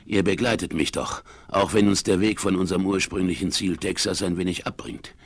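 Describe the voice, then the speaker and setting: An actor playing a grizzled, stubborn old frontiersman in a German western radio play, with a rough, folksy, emphatic delivery. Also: sits low, steady tone, brisk, dry recording. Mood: eager, determined. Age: older. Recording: 1970s vinyl radio play.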